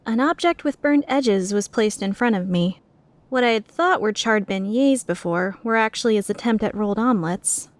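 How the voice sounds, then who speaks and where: A woman's voice: medium pitch, animated, moderate pace, no room sound, lightly acted. A woman playing a flustered, skeptical young woman who narrates her own story introspectively in a fan-dubbed drama. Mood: embarrassed, wondering. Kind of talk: fan dub drama with first-person narration.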